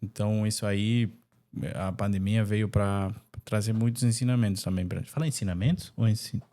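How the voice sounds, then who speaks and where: A Brazilian man with a slightly low voice hosts a relaxed podcast conversation. His talk is informal and slangy, with a joking manner. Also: normal speed. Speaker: podcast host. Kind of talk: casual podcast chat.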